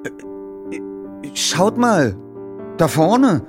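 A man voicing an excitable, easily startled teenage boy detective with an emphatic, stammering manner in an audiobook. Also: a little high, extremely slow, over music, voice pushed up, heavily acted. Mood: startled, hesitant.

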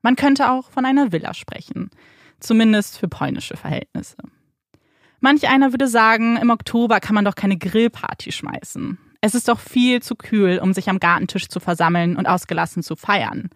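A young woman co-hosting a podcast, narrating a crime case in a slow, suspenseful storytelling manner with rhetorical questions. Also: mid-range voice, no echo, studio quality. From Germany, raised bilingual by Polish parents. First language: Polish. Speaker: podcast co-host telling the case. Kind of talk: dramatic true crime storytelling. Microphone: studio microphone.

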